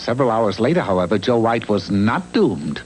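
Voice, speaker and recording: A man's voice: fairly low pitch, big pitch swings, brisk, darkish tone, rough recording. A man narrating a mock fairy-tale cartoon in a wry, theatrical storyteller's voice, on a hissy old soundtrack.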